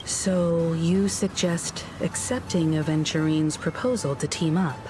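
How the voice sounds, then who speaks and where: An actress playing a calm, analytical woman in a video game story, speaking in a measured, deliberate way. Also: low-pitched. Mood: measured, wary. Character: calm, analytical adult woman, cautious crew member. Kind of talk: scripted game story dialogue. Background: background music.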